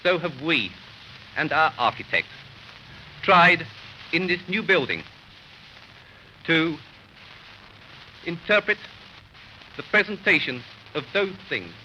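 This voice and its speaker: An English man giving a stately, measured, formal scripted speech as the host of a ceremony, on an old 1930s recording. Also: on the high side, bright, forceful tone. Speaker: host introducing the guest speaker. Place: public opening ceremony in a store. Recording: muffled, noisy 1930s archive recording.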